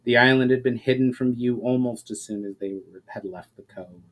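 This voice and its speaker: A man's voice: medium pitch, normal speed, low-quality recording. A man reading his own story aloud as a dramatic scripted narration.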